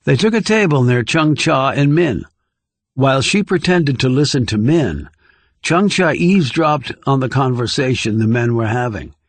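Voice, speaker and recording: A man reading scripted thriller narration in a measured, composed way. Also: mid-range voice, animated, no echo. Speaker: audiobook narrator.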